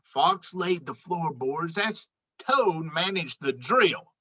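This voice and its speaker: Slow, very bright woman reading a storybook. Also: fairly low pitch, expressive, slightly rough. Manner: dramatic, deliberate storytelling. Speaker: children's book reader. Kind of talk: expressive storybook read-aloud.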